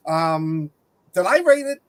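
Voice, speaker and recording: A man with a slightly high voice takes his turn on a film discussion panel. His delivery is casual and halting, as he stops partway through sentences to reach for the next word. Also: big pitch swings. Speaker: panelist on a movie review show.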